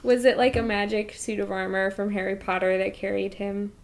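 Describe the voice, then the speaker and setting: A woman's voice: mid-range voice, little pitch movement, brisk, vocal fry. Female co-host, mid-range voice, playful teasing banter in casual movie discussion. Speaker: podcast co-host.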